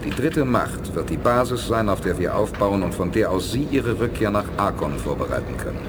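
A level-headed, persuasive space commander played by a man in a vintage sci-fi radio drama. His low voice moves widely in pitch as he urges people on, with heavy rumbling noise around him. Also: animated, moderate pace, lightly acted. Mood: grave, persuasive. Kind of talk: 1980s German sci-fi radio play acting.

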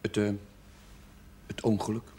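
Actor as hesitant, uneasy village curate. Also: male voice, warm tone, slight background noise, lightly acted. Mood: uneasy, defensive. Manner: hesitant, halting. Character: hesitant, uneasy village curate, meek before his elders. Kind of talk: television drama acting.

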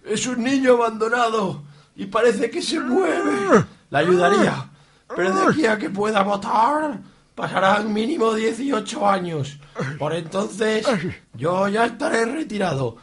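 A man playing a melodramatic, self-serving mayor in a comic radio soap, husky and declamatory. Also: sits high, sing-song, measured pace, exaggerated voice. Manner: theatrical, declamatory.

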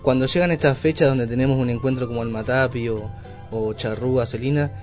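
A man answering casually as an interviewed band member, halting and plain-spoken. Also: medium pitch, fairly even intonation, darkish tone. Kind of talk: casual radio interview chat. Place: radio studio. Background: music with guitar.

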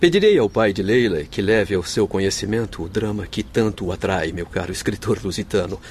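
An actor in a radio soap playing a noble, devoted doctor's spirit, speaking with formal, heartfelt solemnity. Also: male voice, on the low side, animated, fairly quick, darkish tone, slight background noise. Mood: grave, kindly. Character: noble grieving Spanish doctor spirit, devoted forgiving husband. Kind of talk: radio soap opera acting.

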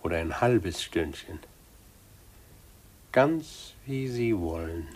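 A smug, controlling businessman in a 1950s German radio play. His voice is low and husky. He talks down to people with an oily, fatherly patience that hides a scheming mind. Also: animated, takes it slowly, darkish tone, full character voice. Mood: oily, soothing. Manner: unctuous, patronising, with smug chuckles.

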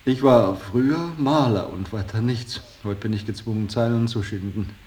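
An actor playing a discouraged former painter reduced to hack writing, respectful and plaintive, in a radio play. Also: male voice, on the low side, normal speed, a little mellow, close to an everyday voice. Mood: bitter, weary. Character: discouraged, dutiful former painter, struggling newspaper writer, younger man. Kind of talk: German radio play acting. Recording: distant, slightly hissy radio drama recording.

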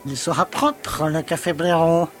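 An actor playing a stern, disapproving village mayor in an audio drama, pompous and gloomy. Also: male voice, on the high side, fairly even intonation, measured pace, lightly acted. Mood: stern, scornful.

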